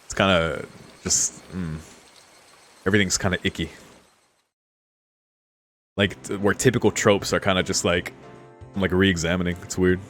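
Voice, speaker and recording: A man's voice: low voice, fairly even intonation, normal speed, long pauses, a bit bright, soft background music. A man reading a visual novel aloud and giving casual, chuckly commentary as a streamer.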